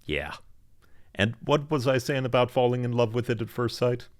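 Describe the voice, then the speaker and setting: A man's voice: mid-range voice, normal speed, dry recording. Upbeat male podcast host, dry sound. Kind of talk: casual podcast chat.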